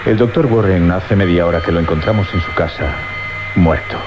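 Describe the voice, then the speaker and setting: An actor playing a dutiful, sombre small-town police sergeant in a dramatic radio play. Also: male voice, low-pitched, normal speed, natural voice. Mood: deferential, grave. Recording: dull old radio recording, faint music.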